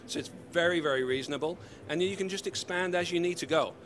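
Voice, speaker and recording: An upbeat man in his forties with a slightly mixed British accent, pitching his company's gear in a lively, salesy way. His voice sits a little high for a man and comes through a handheld mic on a busy convention floor. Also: fast, a little hiss or hum. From United Kingdom. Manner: upbeat, salesy, gesturing while explaining. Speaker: company representative. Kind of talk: trade-show interview. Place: busy convention hall booth. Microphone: handheld mic held by interviewer.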